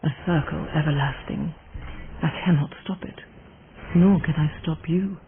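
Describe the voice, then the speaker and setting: A woman's voice: deep voice, steady tone, moderate pace. Tinny, noisy game audio: aged female shaman, solemn and incantatory. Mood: solemn, resigned. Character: solemn, wise old shaman woman. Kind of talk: video game dramatic acting. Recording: phone-like band, background noise.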